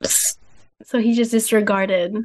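A woman interviewer asking gentle, measured questions on a podcast. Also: medium pitch, steady tone.

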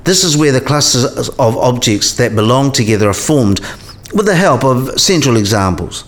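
A man's voice: medium pitch, animated, normal speed, close to an everyday voice. A man playing a patient professor in a radio play, explaining things in a didactic, precise manner. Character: male professor patiently explaining category theory.

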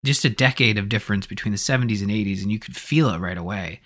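A man's voice: fairly low pitch, quick pace, a bit bright. A man hosting a podcast, discussing films in a casual, conversational and hedging way. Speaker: podcast host.

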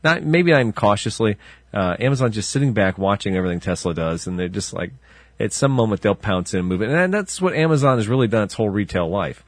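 Rambling low-voiced podcast co-host. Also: male voice, expressive, fast, no room sound. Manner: rambling, with restarts and chuckles. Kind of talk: casual banter.

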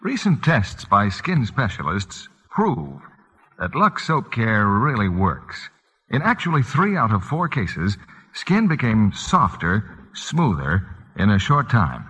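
An American man reading a 1940s radio advertisement as announcer, with a husky voice. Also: lowish voice, wildly swooping pitch, slow, a bit bright. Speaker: commercial announcer. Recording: muffled old radio broadcast, long reverb.

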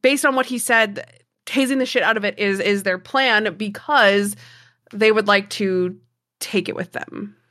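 Female player, bright forceful voice at middling pitch, wry and halting, very dry sound. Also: no room sound. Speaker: tabletop game player. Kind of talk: casual improvised in-character talk.

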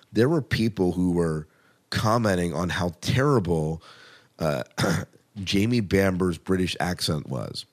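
A man co-hosting a podcast, casually reviewing TV in an enthusiastic, conversational way and repeating phrases for emphasis. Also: deep voice. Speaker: podcast co-host.

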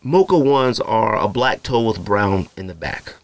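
A man in his thirties with a naturally deep voice, hosting a podcast in loose, slangy casual chat full of fillers and asides to his listeners. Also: expressive, unhurried. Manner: feels hot and uncomfortable while recording. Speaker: podcast host. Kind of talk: casual solo podcast rambling.

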